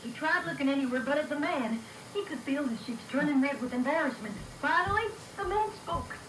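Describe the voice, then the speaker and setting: A woman's voice: sits high, expressive, fairly quick, a bit bright. A woman voicing a cartoon pony who reads a fan-fiction story aloud, on an old reel-to-reel tape. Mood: storytelling. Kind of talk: scripted fan-fiction narration. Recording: echoey, noisy reel-to-reel tape.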